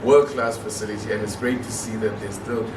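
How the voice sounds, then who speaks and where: A man hosting an event as master of ceremonies, loud, jovial and informal. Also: fairly low pitch, fairly even intonation, brisk. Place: hotel function room. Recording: echoey room from far off, noisy.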